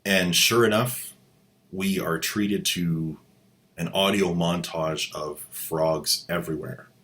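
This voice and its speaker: A man's voice: sits low, steady tone, takes it slowly, a bit bright. A man hosting a comedic commentary with a sarcastic, mocking manner. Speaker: host.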